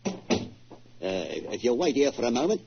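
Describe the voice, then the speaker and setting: An actor playing a guarded, deferential manservant who speaks formally, in the style of 1940s radio drama. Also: male voice, medium pitch, big pitch swings, normal speed, creaky. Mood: polite, guarded. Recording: noisy muffled 1940s radio recording.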